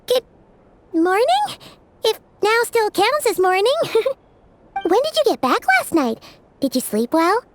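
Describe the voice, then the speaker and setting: A woman voicing a tiny, excitable floating companion who chatters about herself in the third person. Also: very high-pitched, a little slow, long pauses, a little hiss or hum, exaggerated voice. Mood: cheerful. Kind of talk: game cutscene voice acting.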